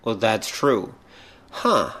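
A middle-aged man co-hosting a podcast, asking and responding casually in a measured, reflective way. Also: lowish voice, big pitch swings, a bit bright. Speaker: podcast co-host. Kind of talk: casual conversational questioning.

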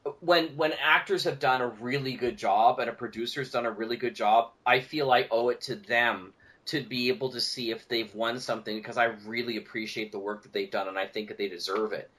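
A Canadian man is a guest on a podcast, talking casually about his own work as a producer. He rambles through stories, circling back and repeating phrases, in a mid-pitched voice. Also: steady tone, brisk, fairly bright tone. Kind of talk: casual interview chat, anecdotes.

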